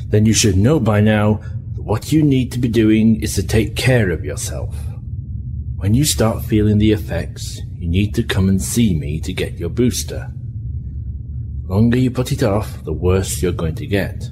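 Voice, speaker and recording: A man's voice: fairly low pitch, lightly acted. A man playing a patient but firm, admonishing starship doctor in an audio drama. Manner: measured, admonishing, clinical. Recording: clearly noisy recording with background ambience.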